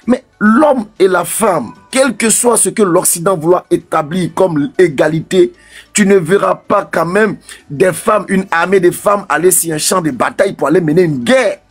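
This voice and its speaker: A man's voice: sits high, breathy edge. A man arguing insistently in a live religious debate, pressing to finish his point.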